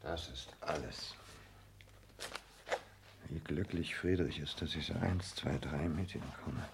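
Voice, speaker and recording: An actor playing a self-important, calculating social climber, delivering a declamatory, brooding monologue in a 1960s German radio play. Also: male voice, very deep voice, takes it slowly, vocal fry, noisy background, full character voice.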